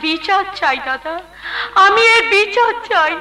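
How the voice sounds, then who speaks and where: A woman's voice: very high-pitched, expressive, measured pace, sharp tone, soft background music, exaggerated voice. A woman playing a wronged, cast-out young wife in folk theatre melodrama, declaiming with tearful, impassioned pleading. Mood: anguished pleading.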